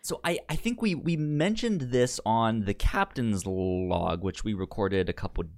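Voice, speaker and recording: A man co-hosting a movie podcast in casual chat, halting and often repeating words and sounds as he goes. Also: fairly low pitch, animated, a little mellow, no room sound.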